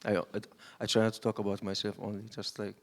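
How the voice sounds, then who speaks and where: A man's voice: on the low side, completely flat delivery, fast talker. A man from Syria, a filmmaker on a panel, answering unscripted and searching for his words.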